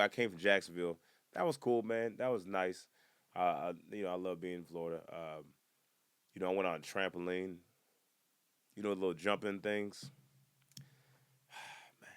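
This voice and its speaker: A man in his twenties doing a casual comedic podcast monologue, animated and breaking into loud high-pitched bursts when he acts out other people. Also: no echo, clean studio sound. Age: 20s.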